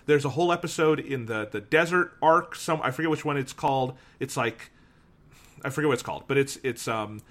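A man co-hosting a casual review discussion, talking in an animated way and laughing as he tells a story. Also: mid-range voice, brisk. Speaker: podcast co-host.

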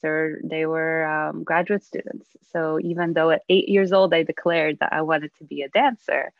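A woman from Poland speaks as a podcast guest, a little low-pitched and reflective. She pauses often and laughs softly as she thinks. Her audio sounds dry and a bit muffled. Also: creaky, dry recording. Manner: reflective, many hesitations and soft laughs. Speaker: guest, dancer and researcher. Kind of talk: casual podcast interview.

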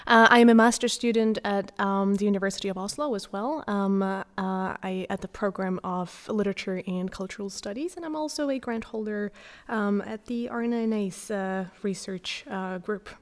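A young woman from Slovakia speaks on a podcast panel. She talks hesitantly, pausing on ums and restarting her sentences. Her pitch is medium. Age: young adult. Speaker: panel guest, master's student.

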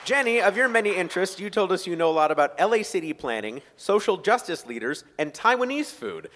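A man's voice: high-pitched, big pitch swings, a little fast, fairly bright tone. A man hosting a quiz show with playful banter and a showy announcer's delivery, recorded in an echoey hall.

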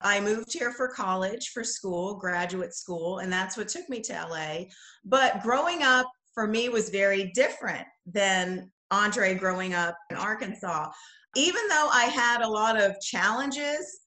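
Bright-voiced woman in an echoey room. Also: medium pitch, a little slow. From Bahamas. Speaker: podcast guest. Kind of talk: conversational personal storytelling. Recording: roomy, echoing sound.

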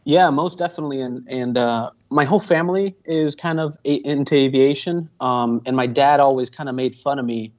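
A man's voice: mid-range voice, brisk, hardly any pauses. Guy in his 20s, rambles a lot, ums and restarts, muffled lo-fi sound. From Venezuela. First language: Spanish. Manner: earnest, rambling, with many fillers and restarts. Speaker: guest pilot. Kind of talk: casual interview answers. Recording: dull muffled audio.

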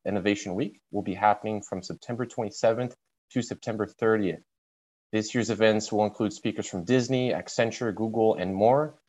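A young man from Brazil co-hosts a podcast. His voice sits low, and he reads the announcements in a steady way. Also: low-quality recording. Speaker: podcast co-host. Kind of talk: reading announcements, then casual chat.

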